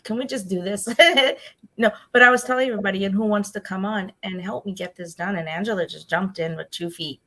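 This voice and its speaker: Gravelly-voiced woman hosting a video call. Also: mid-range voice, brisk, fairly bright tone. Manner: rambling, restarts sentences often. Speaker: host. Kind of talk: casual online video call chat.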